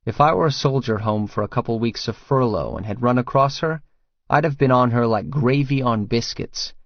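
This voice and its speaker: A man's voice: medium pitch, moderate pace, no room sound, lo-fi sound. A man reading first-person romance fiction as an audiobook narrator, in a scripted storytelling style.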